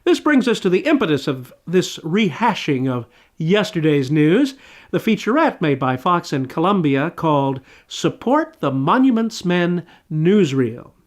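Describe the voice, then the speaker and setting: A man's voice: a little high, sing-song, measured pace. A man hosting a film review, reading a scripted piece with florid, old-fashioned announcer phrasing. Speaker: film review show host. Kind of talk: scripted review, presented to viewers.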